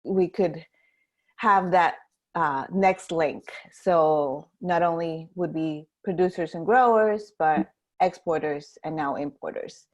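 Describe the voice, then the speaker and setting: A woman from Guatemala, a guest on a video-call webinar, who talks haltingly with many fillers and restarts. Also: deep voice, highs cut off. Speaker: coffee importer guest. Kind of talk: webinar interview.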